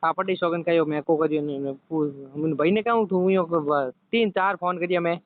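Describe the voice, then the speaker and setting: High-voiced Rajasthani man on a phone line. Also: a little fast, no echo. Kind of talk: casual private phone call. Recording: tinny phone line with background noise.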